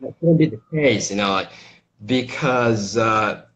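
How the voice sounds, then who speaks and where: A young adult man from Brazil with a Brazilian accent, an English teacher talking casually over a video call, halting with lots of fillers and restarts. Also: fairly low pitch, expressive, fairly bright tone, poor audio. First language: Portuguese. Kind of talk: casual interview chat.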